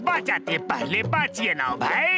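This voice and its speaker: Theatrical high-pitched cartoon schemer. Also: male voice, wildly swooping pitch, normal speed. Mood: tearful pleading. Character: scheming male villain, dramatic, posing as weepy old father. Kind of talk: exaggerated cartoon voice acting. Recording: music behind the voice.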